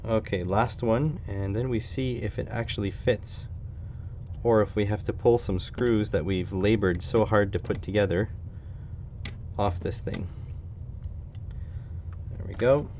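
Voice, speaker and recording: Low-voiced Canadian man thinking aloud. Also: fairly even intonation, measured pace, long pauses, darkish tone. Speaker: hobbyist electronics streamer. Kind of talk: casual live stream chat while working. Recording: dull, slightly lo-fi with faint noise.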